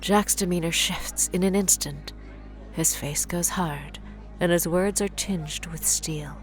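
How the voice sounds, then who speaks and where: A woman's voice: on the low side, slow, soft background music, poor audio. A woman giving scripted narration for a gritty superhero audio drama as its storyteller. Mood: grave.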